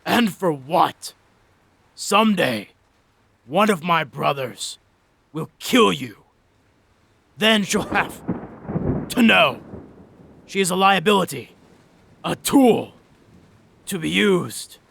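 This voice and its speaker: A man voicing a dying, menacing Cyclops giant in an audio story, gasping and rasping as he slowly taunts. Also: on the high side, animated, fairly bright tone, slight background noise, full character voice. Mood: menacing, spiteful. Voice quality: raspy, gasping, wet gurgle. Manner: slow, drawn-out taunting.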